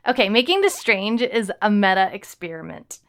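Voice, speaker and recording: A woman's voice: medium pitch, big pitch swings, normal speed, fairly bright tone. A woman co-hosting a casual home-recorded podcast, upbeat and teasing, with fillers. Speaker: writer and podcast co-host. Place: home recording setup in a house.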